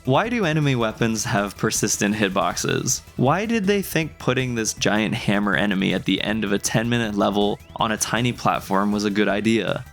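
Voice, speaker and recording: A man with a fairly low voice reads a scripted video essay about gaming. His delivery is conversational and wry, like a reviewer airing his gripes. Faint music plays behind him. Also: vocal fry. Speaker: video game reviewer, video creator.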